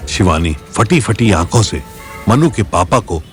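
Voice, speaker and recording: A man's voice: lowish voice, very animated, measured pace. A man narrating a scripted audio story as its storyteller. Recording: music bed behind voice.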